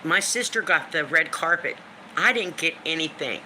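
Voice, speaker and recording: A woman in her fifties with a deep smoker's voice, venting on a live stream in a blunt, emphatic way, swearing freely and repeating lines for emphasis. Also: animated, normal speed, bright, forceful tone, slight background noise, low-quality recording. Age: 50s. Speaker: livestream host. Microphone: phone or webcam for a live stream.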